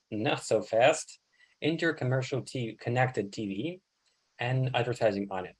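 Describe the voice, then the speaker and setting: A man's voice: medium pitch, a little mellow. A man hosting a podcast, introducing the topic and interviewing a guest. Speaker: podcast host.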